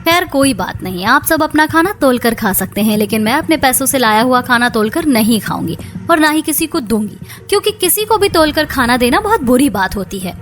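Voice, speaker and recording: High-pitched woman acting in a family cartoon. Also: animated, fairly quick, hardly any pauses, a bit bright. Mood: defiant, aggrieved. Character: women of a quarrelling household: daughter-in-law, mother-in-law, sister-in-law. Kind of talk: Hindi moral-story cartoon dubbing. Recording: music bed behind the voice.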